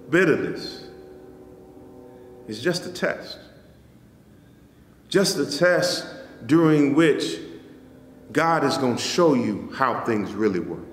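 A man's voice: mid-range voice, slight background noise. Man preaching in an echoey church. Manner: measured preaching, rising on key phrases, dropping soft at line ends. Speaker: pastor. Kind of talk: sermon. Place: church sanctuary. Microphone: stand mic in front, camera at a distance.

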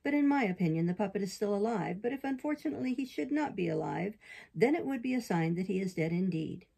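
A woman's voice: medium pitch, animated, fast, gravelly, mellow, breathy edge, rough recording. A woman voicing a courteous, pompous owl doctor from a storybook in a formal manner. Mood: politely pompous.

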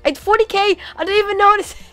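Excitable young woman with a cold. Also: extremely high pitch, fast talker, soft background music. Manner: excitable, many "oh my god"s and "like"s. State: hoarse from a cold. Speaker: gaming channel host. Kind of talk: casual gameplay commentary.

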